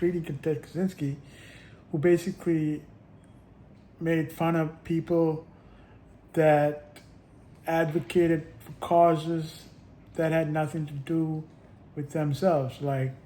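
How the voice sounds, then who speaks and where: Halting, rambling man vlogging. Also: a little high, darkish tone, slight background noise, poor audio. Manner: halting, with many restarts and stray chuckles. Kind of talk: casual rambling monologue.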